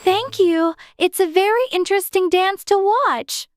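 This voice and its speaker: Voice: extremely high pitch, a little slow, no echo, studio quality, faint music behind, voice pushed up. A performer voicing a polite, timid, eager young girl in a dramatized storybook reading. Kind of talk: dramatized audiobook character.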